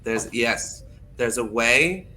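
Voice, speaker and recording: A man's voice: mid-range voice, animated, slow, sharp tone, slight background noise. A man who works as a teacher, chatting casually on a podcast in a thoughtful, hesitant way and often correcting himself as he goes. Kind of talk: casual chat.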